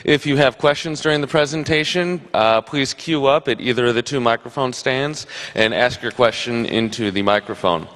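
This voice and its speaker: A man's voice: medium pitch, normal speed, hardly any pauses, a bit bright. A man announcing a conference session and giving housekeeping reminders in a plain, orderly way. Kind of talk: event announcement. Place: large lecture hall. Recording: reverberant lecture hall.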